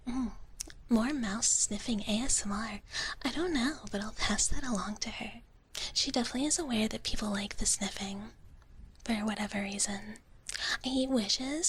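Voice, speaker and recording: A woman whispers softly and breathily, close to the microphone, in a live ASMR stream. She speaks very slowly in a fairly high voice, playing a gentle wish-dragon persona as she chats with viewers. There is audible noise behind her. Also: poor audio. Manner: playful, in character as a gentle wish dragon, often speaking of herself in third person. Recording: clearly audible background noise.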